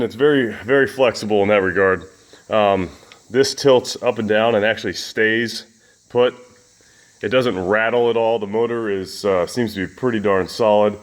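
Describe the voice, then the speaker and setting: A man in his home basement gym talks through a fan review in a loud, easygoing voice that sits a bit low. He rambles, restarts sentences and fills gaps with ums and uhs. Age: adult with children. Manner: rambling, folksy, many fillers and restarts. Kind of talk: casual product review vlog.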